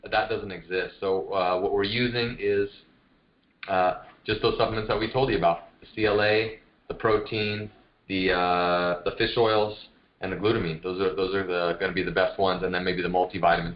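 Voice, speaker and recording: A man with a lowish voice talks as a fitness coach leading a group call. His delivery is informal and encouraging, like casual coaching. The sound is dull and lo-fi, as from a conference call. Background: no music, voice on a group phone call.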